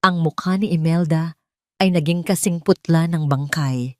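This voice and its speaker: Female narrator for scripted romance audio drama, lowish register, dry studio-like sound, dramatic storytelling. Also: moderate pace, no room sound.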